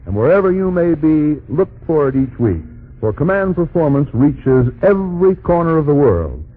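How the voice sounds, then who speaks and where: A man's voice: fairly high pitch, sing-song, moderate pace, narrow, tinny audio. An American man reading a scripted announcement as a 1940s radio announcer, on an old broadcast recording.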